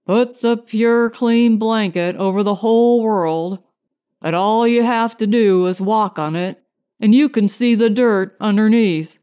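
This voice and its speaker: A woman's voice: mid-range voice, a little slow, mellow, lightly acted. Lady reading as a bitter lonely city guy, cynical n curt, takes his time, audiobook story.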